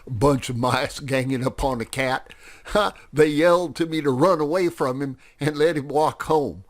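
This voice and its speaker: A man plays a good-natured old farm horse in a storybook. He rambles along in a folksy, rustic way, with lively swings of pitch. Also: a little slow, clean tone. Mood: amused.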